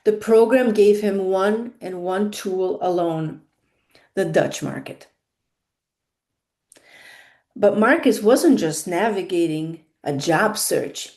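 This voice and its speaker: A woman with a non-native English accent speaks slowly, stressing key words and repeating points for emphasis. Her voice is mellow and warm, set at a middle pitch. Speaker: career consultant. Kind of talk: spoken monologue on careers.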